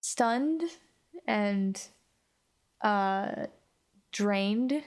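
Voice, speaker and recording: Slow-paced woman in casual game chat. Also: mid-range voice, darkish tone, smooth. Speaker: player on an actual play podcast.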